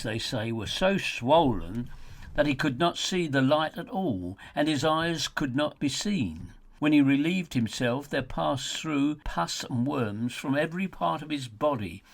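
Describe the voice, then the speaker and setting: A man reading his own audiobook in a theatrical, sardonic, emphatic storytelling manner, with a slightly husky voice. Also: on the high side. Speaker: author narrating own audiobook. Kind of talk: scripted audiobook narration.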